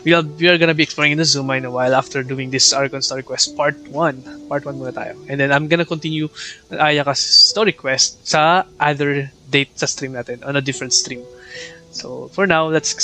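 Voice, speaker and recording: Casting: male Filipino game streamer, bright tone, casual bilingual chatter, faint game music. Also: on the high side, fairly even intonation, fairly quick, poor audio. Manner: chatty, mixes two languages, greets viewers. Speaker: game livestreamer. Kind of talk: casual gaming commentary.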